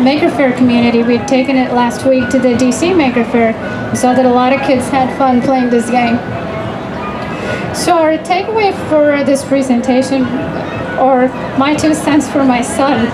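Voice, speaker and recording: A woman's voice: high voice, poor audio. A middle-aged woman giving an encouraging, explanatory live presentation on a handheld microphone through a PA in an outdoor tent. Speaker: presenter, mother co-presenting with her child. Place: open-sided tent at an outdoor fair. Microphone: handheld microphone through PA, filmed from audience. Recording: outdoor PA speaker, clear background noise. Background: outdoor fair ambience.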